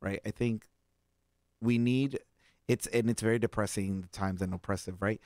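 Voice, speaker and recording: Young brazilian guy chatting loose, lots of restarts n laughs, lowish voice, dry sound. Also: steady tone, normal speed, darkish tone, no echo. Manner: laughs easily, rambling with restarts and fillers. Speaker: guest organizer. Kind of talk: casual interview chat.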